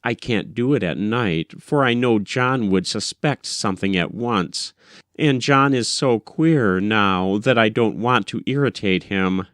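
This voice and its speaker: Slow-paced man reading classic fiction. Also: lowish voice, no echo, studio quality. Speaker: audiobook reader. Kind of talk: scripted literary narration of a first-person short story.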